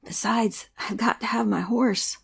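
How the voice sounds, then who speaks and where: Woman as a breathy, creaky, exhausted hunted soldier. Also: fairly high pitch, steady tone, measured pace, exaggerated voice. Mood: pleading. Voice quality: breathy, husky, often half-whispered. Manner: urgent, earnest pleading. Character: exhausted, desperate hunted Northern soldier, male, pleading. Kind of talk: amateur audiobook play acting.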